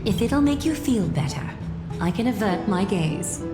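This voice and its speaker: A woman's voice: mid-range voice, lively intonation, moderate pace, lightly acted. An actress playing a gracious, composed woman guide in a fantasy video game, speaking formally and soothingly. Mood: gently teasing. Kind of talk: scripted video game dialogue. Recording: loud music, some room echo.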